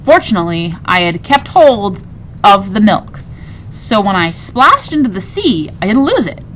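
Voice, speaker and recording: A woman's voice: medium pitch, big pitch swings, moderate pace, a bit bright. Woman reading a storybook, noisy recording. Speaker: teacher reading aloud. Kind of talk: expressive children's storybook reading.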